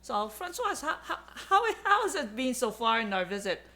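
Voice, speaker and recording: A woman's voice: on the high side, big pitch swings, moderate pace, sharp tone. A woman hosting a casual interview, chatty and enthusiastic, often stumbling and restarting as she asks her questions. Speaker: host.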